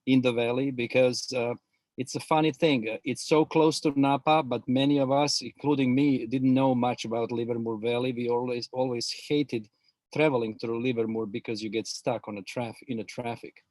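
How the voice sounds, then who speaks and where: A middle-aged man with a Croatian accent tells his background in a webinar interview, often pausing on "uh" and restarting his sentences. His voice sits at an average pitch, and the video-call sound is dry, with almost no room echo. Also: little pitch movement, a little mellow. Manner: hesitant, many filler words and restarts. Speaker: guest expert.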